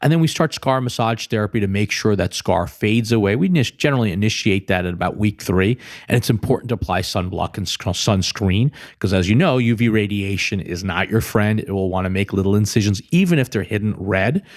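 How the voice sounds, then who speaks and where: A man's voice: lowish voice, expressive, brisk, hardly any pauses. An American man speaking as a doctor and podcast host, explaining in a conversational, emphatic way and addressing the listener directly. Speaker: plastic surgeon hosting a podcast. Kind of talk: conversational patient-education explainer.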